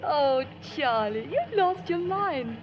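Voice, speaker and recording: A woman's voice: sits high, sing-song, slow, exaggerated voice. An actress playing a prim, earnest, tender and determined woman with genteel, emotive phrasing in a 1950s radio drama. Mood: admiring, flattered. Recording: dull old radio recording, music behind.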